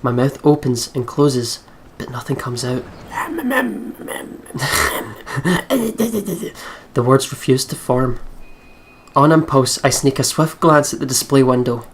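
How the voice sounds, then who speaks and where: A man narrates a visual novel for a let's play, moving between story reading and offhand comments. His pitch is middling, and there is faint noise behind him. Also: expressive, takes it slowly, long pauses. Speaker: let's player. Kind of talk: reading a visual novel aloud with casual asides.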